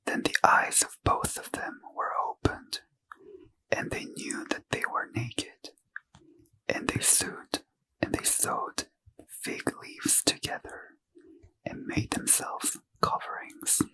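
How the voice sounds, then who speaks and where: Guy whispering a bedtime scripture reading real slow, asmr style. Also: low-quality recording. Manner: soft unvoiced whisper throughout. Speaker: ASMR reader.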